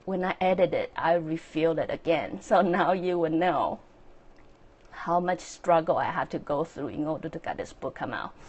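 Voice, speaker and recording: A woman with a Chinese accent, speaking English as a second language, hosting a casual, earnest solo talk with many fillers and soft laughs. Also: deep voice, normal speed, breathy edge. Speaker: podcast host and author.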